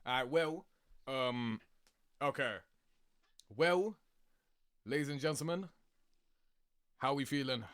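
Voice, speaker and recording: A man's voice: mid-range voice, slow, pauses often, a bit bright, clear, resonant tone, dry recording, studio quality. A young man giving casual, slangy reaction commentary on a video game. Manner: slangy, informal. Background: music.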